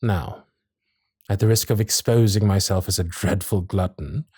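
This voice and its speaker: A man playing a gallant, protective Victorian gentleman suitor in a romantic audio roleplay, speaking in formal, genteel, old-fashioned phrases. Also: deep voice, normal speed, husky, no room sound, clean studio sound. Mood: courtly, flirtatious. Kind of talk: romantic period-drama ASMR roleplay.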